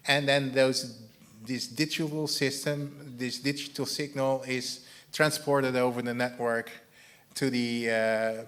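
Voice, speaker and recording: A man with a Dutch accent giving a technical talk and answering questions as a conference speaker, halting and often repeating his words. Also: medium pitch, steady tone, fairly bright tone. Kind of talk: technical presentation and audience Q&A.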